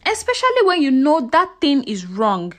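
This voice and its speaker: A woman's voice: sits high, wildly swooping pitch, normal speed. A woman storyteller voicing a protective mother with emotional, emphatic delivery in a dramatic folktale. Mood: earnest, caring. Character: heartbroken, indignant mother, protective of her young daughter. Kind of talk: folktale storytelling character dialogue.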